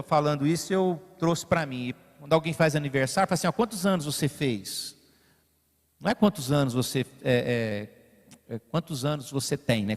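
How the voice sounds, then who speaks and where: A pastor from Brazil preaching in his church, his high voice carried by a long reverb through the sanctuary. He presses his points with rising emphasis, then drops to quiet, conversational asides. Now and then he calls instructions to the man running the slides. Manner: directs the slide operator mid-sermon. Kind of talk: sermon.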